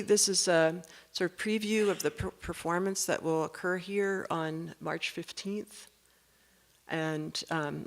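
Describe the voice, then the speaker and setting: An artist presenting their own work in a slide talk, speaking with halting, measured phrasing. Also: medium pitch, a bit bright.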